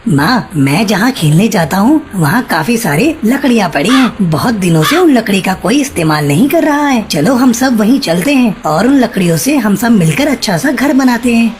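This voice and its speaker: Medium pitch, woman as eager cartoon boy bird, earnest, faint noise behind. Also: expressive, moderate pace, hardly any pauses, close to an everyday voice. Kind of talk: children's cartoon story voice acting.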